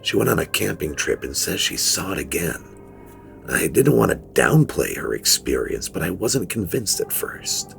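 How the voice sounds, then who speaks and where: A man playing a weary, fearful middle-aged father, giving a grave, measured first-person confession in a horror story narration, with a low, gravelly voice. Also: very animated, soft background music, exaggerated voice. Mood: uneasy, confessional.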